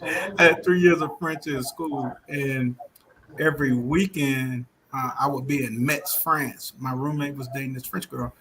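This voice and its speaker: A man's voice: mid-range voice, steady tone, a little slow, a bit bright, a little hiss or hum, poor audio. A man hosting a casual livestream chat, telling stories in a laid-back, joking way. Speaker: livestream host.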